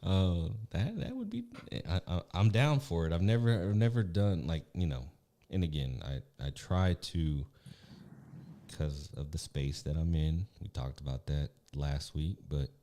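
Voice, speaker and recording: A man with a naturally deep voice, co-hosting a live podcast and chatting loosely about podcast tips. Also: animated, measured pace, mellow. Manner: loose, chatty, repeats "again" and "right". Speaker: podcast co-host.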